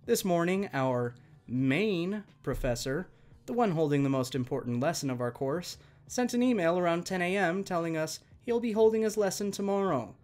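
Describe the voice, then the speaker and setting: A man working as a channel host, reading online stories aloud in an expressive, conversational way and speaking directly to listeners. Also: high voice, moderate pace. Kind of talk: reading online stories aloud, scripted narration with chatty asides.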